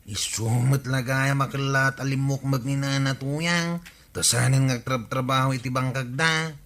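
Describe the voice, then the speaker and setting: An actor with an Ilocano accent playing a grumbling, money-minded tricycle driver given to gossip, in a radio comedy drama. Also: male voice, medium pitch, fairly even intonation, moderate pace, fairly bright tone, close to an everyday voice. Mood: gossipy.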